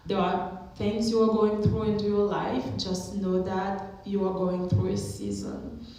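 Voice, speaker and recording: A woman's voice: medium pitch, flat delivery, measured pace, dark tone. Woman in her thirties as chapel speaker, earnest and encouraging, heavy chapel echo. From Haiti. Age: 30s. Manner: earnest, encouraging, asks the listeners questions. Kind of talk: chapel talk to students. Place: school chapel. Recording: echoey chapel.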